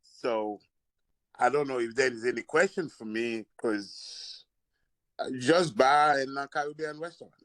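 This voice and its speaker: A man's voice: medium pitch, a little slow, fairly bright tone, no room sound, rough recording. A man from Haiti, a restaurant and bar owner speaking at a public meeting on a call, hesitant and searching for words. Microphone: phone or video call.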